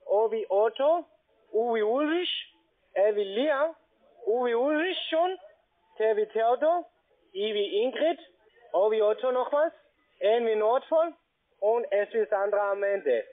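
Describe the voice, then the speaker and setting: A man with a foreign accent speaking non-native German, giving a halting phone sales pitch as a call centre agent and often checking with short tag questions. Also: expressive. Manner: halting, broken grammar, checks with "ja?" and "ne?". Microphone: telephone. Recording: muffled narrow phone line.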